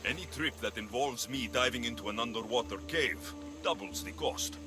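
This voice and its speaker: A man's voice: medium pitch, normal speed, over music, lightly acted. An actor playing a cocky, sardonic male mercenary in a video game cutscene. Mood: confident. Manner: dry, sardonic, bargaining. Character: cocky, wry male mercenary in ancient Greece.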